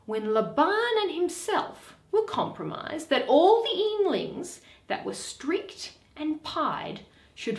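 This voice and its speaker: A woman playing a shrewd, aggrieved male moneylender in a Shakespeare reading, with emphatic, theatrical, deliberate delivery. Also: sits high, echoey room, full character voice.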